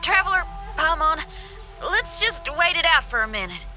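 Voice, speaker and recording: A woman's voice: very high-pitched, very animated, normal speed, pushed and bright, full character voice. A young woman voicing a blunt, protective, cautious heroine in a video game, casual and direct. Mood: worried. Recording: music behind the voice.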